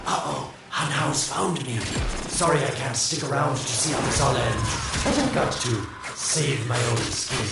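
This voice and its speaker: A man's voice: medium pitch, measured pace, creaky, heavy background noise. A man playing a glib, self-serving schemer in a video game, with a sly, flippant, drawling delivery. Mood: sly mockery. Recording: echoey, distant, loud music behind. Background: loud game music.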